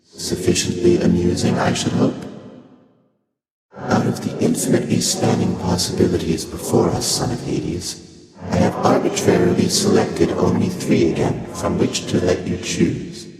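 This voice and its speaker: Game role: male actor as primordial deity, very deep, cryptic, detached, archaic diction, drenched in echoing reverb. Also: fairly even intonation, natural voice. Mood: aloof amusement. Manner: slow, formal, archaic, detached phrasing. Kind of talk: video game character acting. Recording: long echoing reverb, loud music behind.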